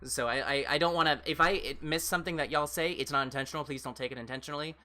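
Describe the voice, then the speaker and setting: A woman with an American accent and a fairly deep voice, chatting casually as a livestream host and replying to viewers in a rambling, reassuring way. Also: very fast, sharp tone. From United States.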